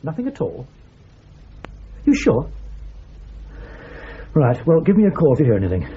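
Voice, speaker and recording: An actor playing a polite, brisk junior policeman in a radio drama. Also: on the high side, wildly swooping pitch. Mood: dutiful. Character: deferential junior British policeman, man. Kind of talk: British radio drama acting. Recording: muffled radio drama, faint noise.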